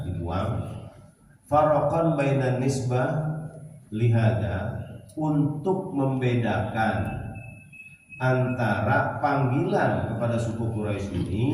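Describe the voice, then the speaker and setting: A man's voice: on the low side, warm tone. A man with a Betawi accent giving an informal religious lesson, reading phrases from Arabic text and explaining them bit by bit, checking with his listeners. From Jakarta, Indonesia. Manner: didactic, repeating and glossing phrase by phrase, checking with listeners. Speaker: Islamic religious teacher. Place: small prayer hall during dawn study session. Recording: very echoey hall, distant mic, some noise.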